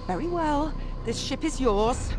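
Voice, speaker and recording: Proud, high-voiced defiant noblewoman. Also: lively intonation, a little slow, background noise. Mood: indignant. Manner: haughty, sarcastic. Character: proud, defiant young Templar noblewoman turned mercenary. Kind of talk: video game cutscene acting. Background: music.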